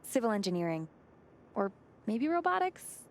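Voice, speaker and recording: A woman's voice: mid-range voice, very animated, normal speed. A woman playing a smart, down-to-earth young video game character in a casual, slightly wry, natural way. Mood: modest.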